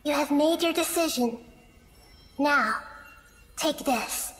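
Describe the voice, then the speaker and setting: Childlike wise game girl, high-pitched, composed and formal, light music bed. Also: slow pace. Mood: solemn. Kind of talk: video game voice acting. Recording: background music under the voice.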